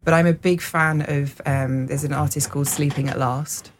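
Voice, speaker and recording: A singer rambles through stories in a radio studio, with plenty of ums and laughs. The voice sits mid-pitch with a gravelly fry at the edges of phrases. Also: steady tone, moderate pace, a little hiss or hum. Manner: rambling, warm anecdotes with frequent laughs and fillers. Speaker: singer and music teacher. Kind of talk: casual radio interview.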